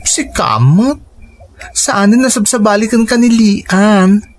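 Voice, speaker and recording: An actor playing a busy, firm and reassuring partner in an Ilocano radio drama, talking insistently. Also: male voice, high-pitched, lively intonation, takes it slowly.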